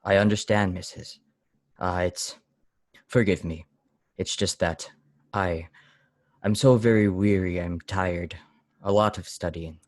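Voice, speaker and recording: An actor plays a brooding, pious lodger in an old-time radio drama, severe and easily angered. His voice is low and solemn, with deliberate, brusque phrasing, recorded very dry. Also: steady tone, a little mellow, no echo. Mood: solemn, weary.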